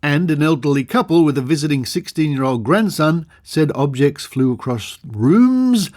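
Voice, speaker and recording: A man's voice: fairly high pitch, animated, normal speed, a little mellow. An Australian man hosting a podcast, reading aloud with asides and theatrical emphasis. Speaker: podcast host.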